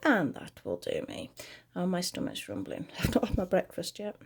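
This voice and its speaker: A British woman hosting a crochet tutorial at home, chatty and rambling as she talks through each step, her voice a little croaky. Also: fairly low pitch, huge pitch swings, moderate pace. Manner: friendly, rambling, talks while working.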